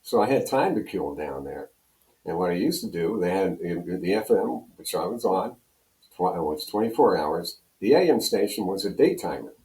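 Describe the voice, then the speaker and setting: Older american guy in his 70s, deep-ish voice, echoey room, rambling old stories and repeating himself. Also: very animated, normal speed, a little mellow. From Pennsylvania, United States. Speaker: interview guest, radio program director and on-air personality. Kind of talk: casual storytelling reminiscence. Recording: roomy, echoing room sound.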